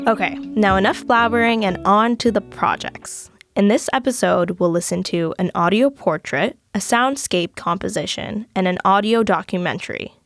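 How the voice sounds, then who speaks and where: A young woman, a student host, reading a scripted podcast introduction in a measured way. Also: mid-range voice, a bit bright, soft background music. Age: young adult.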